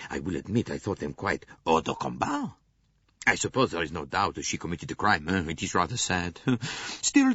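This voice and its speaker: A man playing a cordial, confident but easily flustered gentleman in an audiobook, speaking in a hearty, emphatic, blustering way. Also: sits low, husky, no room sound, dull audio, full character voice. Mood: cordial, satisfied.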